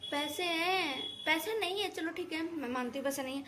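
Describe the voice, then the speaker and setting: Woman, high voice, casual reaction-video rant, scolding and indignant, repetitive colloquial phrasing, faint background noise. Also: moderate pace, bright, forceful tone. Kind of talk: casual opinionated rant to viewers.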